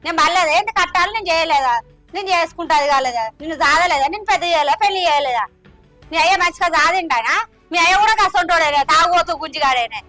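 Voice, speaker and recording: A scolding village mother from a short film, played by a woman, insistent and lecturing. Her voice is very high and bright, and she talks fast. Soft music sits behind her.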